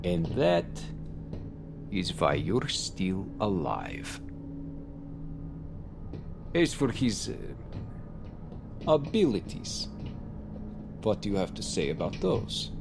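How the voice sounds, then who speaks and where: A man playing a cold, condescending Russian professor villain with a measured, disdainful manner in an audio drama. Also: sits low, big pitch swings, vocal fry, background noise, heavily acted. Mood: cold, menacing.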